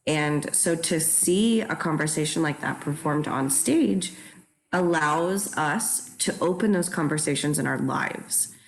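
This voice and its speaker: A woman with a low-pitched voice speaks in a panel discussion as a theatre director. She is earnest and reflective, leaning on key words for emphasis and often checking that others follow. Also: unhurried, poor audio.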